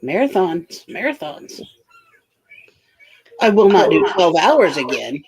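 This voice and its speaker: An easygoing woman chats on a livestream with a friend. She chimes in with her own loose, offhand anecdotes. She sounds relaxed throughout. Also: fairly low pitch, slow pace, low-quality recording. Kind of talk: casual chat.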